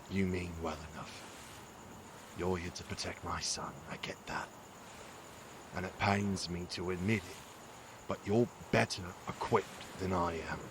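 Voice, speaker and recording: A gruff, rueful father, played by a male actor, talks very low and slowly in an audio drama. He is weary and earnest, with clear night-time ambience behind him. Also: noisy background. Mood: gruffly resigned. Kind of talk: audio drama acting, reflective monologue.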